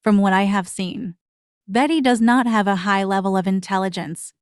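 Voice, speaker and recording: A woman's voice: mid-range voice, normal speed, clean tone, no room sound, studio quality. A woman hosting a reaction commentary channel, speaking bluntly and mockingly in a scripted way.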